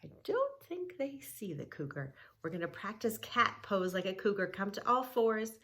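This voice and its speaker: A woman reading a children's book aloud and gently guiding kids through yoga poses, soothing and instructive. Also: medium pitch, very animated, normal speed. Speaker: kids yoga teacher and reader.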